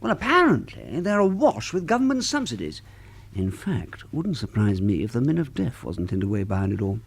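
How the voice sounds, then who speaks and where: An actor playing a jovial, flippant British husband in a radio drama, with breezy, jokey, old-fashioned turns of phrase. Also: male voice, mid-range voice, huge pitch swings, normal speed, vocal fry, breathy edge, heavily acted. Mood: musing, joking. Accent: British English. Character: jovial, flippant middle-class British husband, joking electronics man.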